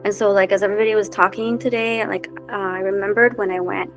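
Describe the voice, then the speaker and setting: A woman's voice: mid-range voice, fairly even intonation, quick pace, soft background music. A woman from Costa Rica, a helping professional, telling a reflective story in a casual panel chat. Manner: meandering, storytelling, gentle.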